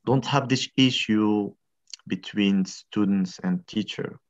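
A man's voice: lowish voice, slow. A young man, a lecturer running introductions on a video call in a friendly, encouraging way. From Morocco. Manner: friendly, encouraging, repeats questions. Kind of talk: online class introductions. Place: indoor room, tiled wall. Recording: dull lo-fi video call audio.

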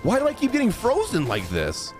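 A man in his thirties reacting casually to a game on a live stream, excitable and slangy. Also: high voice, brisk. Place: indoors, small streaming room. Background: game music and sounds.

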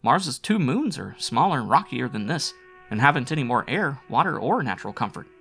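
Man as level-headed space crewman, raised pitch, reasoning, explanatory, science fiction audiobook. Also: sing-song, fairly quick, gravelly, fairly bright tone, faint music behind. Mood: argumentative. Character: level-headed, quick-thinking male spaceship crewman who argues calmly.

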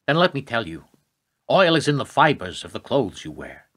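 Radio drama: male actor as a grim, insistent government adviser, lively pitch movement, hard-edged voice, lecturing urgently. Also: normal speed. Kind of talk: radio play acting.

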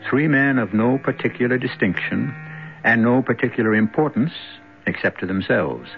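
A man hosting a 1970s radio mystery drama with ominous, deliberate, theatrical scripted narration. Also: medium pitch, lively intonation. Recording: narrow 1970s radio recording with music.

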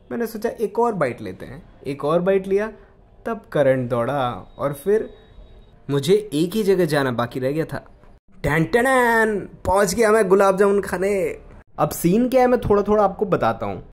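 A man's voice: fairly high pitch, a little mellow. Chatty young man narrating a food vlog. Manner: chatty, playful storytelling. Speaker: travel vlogger. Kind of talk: voice-over narration.

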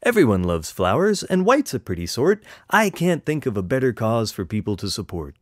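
A man's voice: mid-range voice, big pitch swings, moderate pace, no echo, clean studio sound. A man voicing a gleeful, silly clown in a storybook, exclaiming playfully. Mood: cheerfully earnest.

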